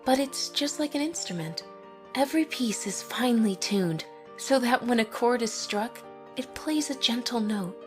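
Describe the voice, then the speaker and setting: A woman playing a tender, loving older mother pony with a soft, delicate, soothing way of talking in an audio drama. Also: a little high, expressive, normal speed, close to an everyday voice. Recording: gentle music behind the voice.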